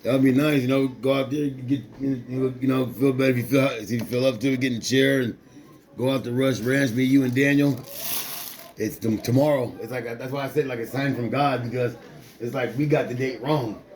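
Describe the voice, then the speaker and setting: A man's voice: medium pitch, steady tone, brisk, darkish tone. A man in his forties, an amateur boxer, talking casually in loose, repetitive street slang with lots of restarts. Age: 40s. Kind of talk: casual rambling talk to a companion.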